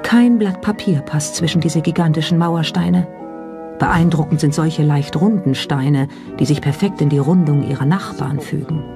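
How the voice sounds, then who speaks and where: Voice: medium pitch, normal speed. A narrator reading a scripted documentary. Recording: music under the voice. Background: background music.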